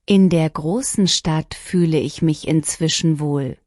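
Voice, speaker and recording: A woman reading short lesson sentences one at a time, each clearly and deliberately, for learners to repeat. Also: sits low, a little mellow, dry recording, studio quality. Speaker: language lesson reader. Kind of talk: scripted shadowing practice reading.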